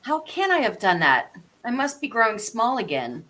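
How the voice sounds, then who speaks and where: A woman's voice: fairly high pitch, sing-song, moderate pace, bright, forceful tone. A woman playing a curious, polite young girl who talks to herself in wonder, in a storybook live read. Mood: puzzled.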